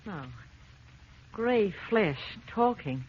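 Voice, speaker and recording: A woman's voice: mid-range voice, sing-song, drawn-out words. An actress playing a frightened, pleading woman in an old radio horror drama. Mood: terrified. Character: terrified woman, pleading and panicky. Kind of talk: 1940s radio horror acting. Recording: dull, noisy old radio recording.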